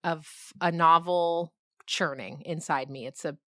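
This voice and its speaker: A woman's voice: low voice, steady tone, measured pace, fairly bright tone, dry recording, clean studio sound. A middle-aged woman talking reflectively and with animation about her creative work in a conversational interview. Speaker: novelist and podcast creator being interviewed.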